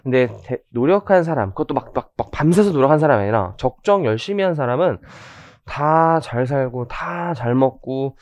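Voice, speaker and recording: A hoarse man in his thirties giving a chatty orientation lecture on tax law, with joking asides and many fillers. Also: mid-range voice, animated, moderate pace, a little mellow, dry recording, highs cut off. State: hoarse from rhinitis.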